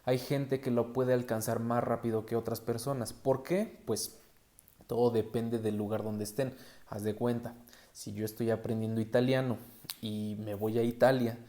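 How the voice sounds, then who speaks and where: A man's voice: mid-range voice, flat delivery, normal speed, warm tone. Casual Mexican man hosting a podcast. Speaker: podcast host. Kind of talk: casual solo podcast talk.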